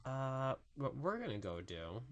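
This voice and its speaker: A woman with a really deep voice, casually commentating a live game stream and chatting with viewers in a rambling, profane way. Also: very animated, unhurried, muffled recording. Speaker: VTuber streamer.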